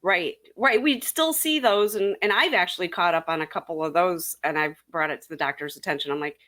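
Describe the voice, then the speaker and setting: A woman with a lower-set voice talks casually as a urology nurse on a panel. She rambles through memories and clinical details with frequent restarts. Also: brisk, a bit bright.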